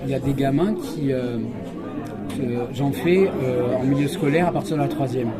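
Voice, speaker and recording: French actor chatting over a noisy crowd. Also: male voice, mid-range voice, moderate pace. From France. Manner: chatty, many fillers and restarts. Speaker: actor and stage reader. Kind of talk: casual interview chat. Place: large hall with a crowd. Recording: loud crowd chatter behind.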